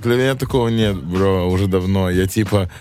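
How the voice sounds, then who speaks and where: A man's voice: deep voice, a little fast, a little mellow, faint music behind. A man of about thirty talking casually and slangily as an interviewed rapper, reflective and searching for words.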